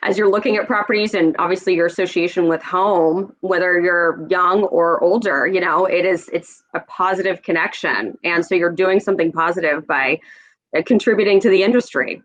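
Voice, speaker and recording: A woman's voice: fairly low pitch, moderate pace, no echo, low-quality recording. A woman in her thirties from Oregon, a real estate executive, talking about her career as a podcast guest in a friendly, upbeat way. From Oregon, USA. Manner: friendly, upbeat, laughs easily. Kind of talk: conversational interview.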